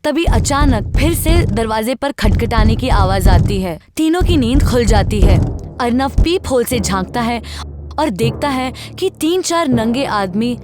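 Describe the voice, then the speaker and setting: High-voiced woman narrating horror. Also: lively intonation, a little slow, noisy background. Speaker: storyteller. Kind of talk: scripted horror story narration.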